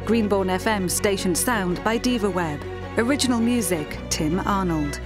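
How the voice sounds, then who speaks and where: A woman reading the closing credits of a radio drama in an even, measured manner. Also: mid-range voice. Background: theme music.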